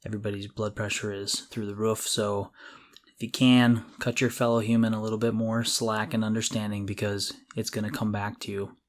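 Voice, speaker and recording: A man's voice: lowish voice, level tone, a little fast. A middle-aged man co-hosting a podcast in casual chat, with a jokey, self-deprecating way of talking. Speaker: podcast co-host.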